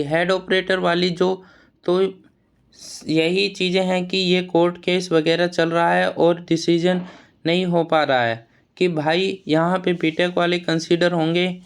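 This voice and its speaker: High-pitched, smooth-voiced man. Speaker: exam news vlogger advising job aspirants. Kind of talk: informal explanatory update addressed to students.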